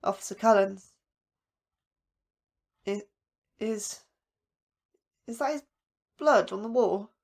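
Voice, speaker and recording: A woman's voice: medium pitch, takes it slowly, pauses often. An actress playing an anxious astrobiologist in an audio drama, questioning and hesitant in her delivery. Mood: frightened. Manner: hesitant, stammering, incredulous.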